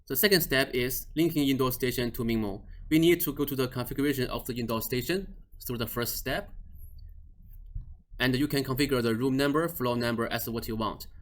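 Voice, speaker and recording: Man calmly talking through a software setup. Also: mid-range voice, fairly quick. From Australia or New Zealand region office. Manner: plain step-by-step explaining with frequent restarts and "uh"s, non-native phrasing. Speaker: product trainer. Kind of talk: screen-recorded software tutorial.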